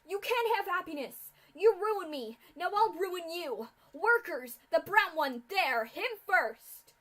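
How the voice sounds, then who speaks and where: A woman voicing an adult pegasus mare who is bitter and furious, yelling in hateful rage. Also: very high voice, slow pace, voice pushed up, full character voice. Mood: screaming rage. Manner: screaming in rage, then cold and flat.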